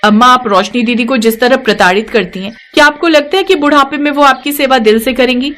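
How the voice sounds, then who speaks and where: A woman's voice: high-pitched, fairly quick, a bit bright. A woman voicing a righteous, outspoken young daughter-in-law who lectures earnestly in a moral-story cartoon. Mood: indignant, reproving.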